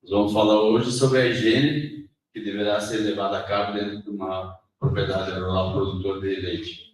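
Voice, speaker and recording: A man's voice: lowish voice, level tone, moderate pace. A man interpreting a lecture into Portuguese line by line, rephrasing and restarting as he goes. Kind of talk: consecutive interpreting. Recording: echoey hall, voice from far off.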